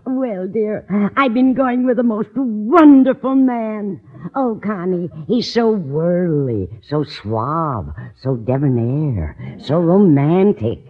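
A woman's voice: fairly low pitch, expressive, slow pace. Fluttery older landlady, coy and gushing, swooping intonation, 1950s radio comedy, tinny narrow sound. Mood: dreamy, smitten. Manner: gushing, endearing address, dramatic phrasing. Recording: tinny, narrow-band old radio recording.

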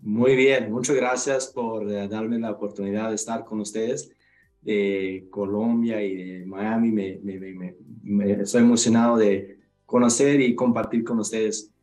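A man's voice: lowish voice, fairly even intonation, measured pace, a little mellow, soft background music, rough recording. Hesitant Mexican man, upbringing. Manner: hesitant, many restarts and fillers. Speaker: guest. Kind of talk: video call interview.

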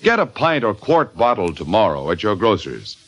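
A man's voice: on the low side, very animated, normal speed, fairly bright tone, husky. A man working as a radio announcer, reading commercial copy and story narration in vintage style. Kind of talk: old-time radio commercial and scripted narration. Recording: dull band-limited old radio recording.

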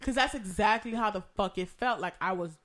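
Hoarse, swearing storyteller with a bright tone. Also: medium pitch, fairly quick. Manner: profane, rambling storytelling. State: hoarse, losing voice from a cough. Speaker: podcast co-host. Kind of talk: casual chat.

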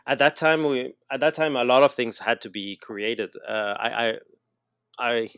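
A middle-aged man with a Quebec French accent tells his story in a casual interview, halting and often repeating words mid-sentence. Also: mid-range voice, a bit bright, clean tone, no room sound, highs cut off. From Montreal, Canada. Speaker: interview guest, educator and designer.

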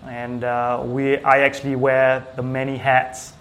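A man from Singapore chatting casually as an interview guest, with hesitant, halting phrasing. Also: medium pitch, completely flat delivery, a bit bright. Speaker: small business owner, IT technician, interview guest.

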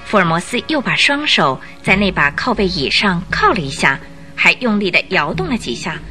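一位女旁白以富有戏剧性的方式讲述广播剧故事。. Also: female voice, fairly low pitch, very animated, moderate pace, sharp tone, faint music behind. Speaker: narrator. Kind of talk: dramatic scripted storytelling narration.

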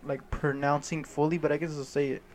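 A man's voice: a little high, level tone, a little fast. A man chatting casually with friends, blunt and profane, joking around. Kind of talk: casual chat among friends.

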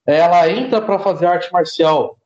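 Guy chatting in an interview, high voice, muffled lo-fi sound. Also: unhurried, a little mellow. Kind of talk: casual interview chat.

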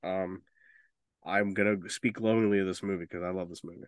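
A man's voice: sits low, steady tone, brisk, no room sound. Casual, sweary man, close dry sound. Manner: informal, profane, opinionated. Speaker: podcast host. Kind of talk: casual podcast chat about movies.

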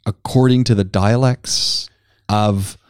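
A man hosting a marketing podcast, introducing the episode and steering the interview with a guiding, deliberate delivery. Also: lowish voice, steady tone, smooth, no room sound, clean studio sound. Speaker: podcast host.

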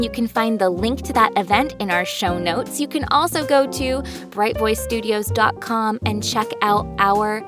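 A woman with a fairly high voice hosts a podcast in a scripted, presentational style. Music is clearly audible behind her. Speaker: podcast host. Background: music bed.

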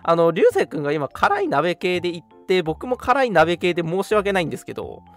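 A man's voice: high voice, wildly swooping pitch, brisk, a bit bright, faint music behind. A young man co-hosting a radio show, chatting casually and in an agreeable, responsive manner. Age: young adult. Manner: agreeable, frequent backchannels and laughter. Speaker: radio co-host.

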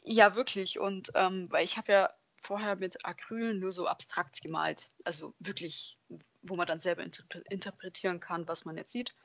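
A woman's voice: fairly low pitch, fairly even intonation, normal speed, vocal fry, a bit bright, no echo. Woman guest chatting in a close, dry sound. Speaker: podcast guest, hobby painter. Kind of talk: casual conversational interview answers.

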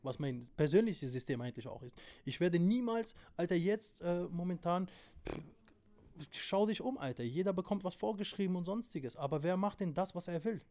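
A man's voice: high-pitched, expressive, moderate pace, mellow. A man chatting casually as a podcast guest, in slangy, emphatic street talk with plenty of swearing. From abroad, came to Germany late. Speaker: interview guest, corner shop owner.

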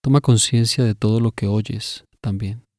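A man narrating a scripted guided meditation in a measured, instructive way, pausing between phrases. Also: lowish voice, fairly even intonation, a little mellow, no room sound, studio quality.

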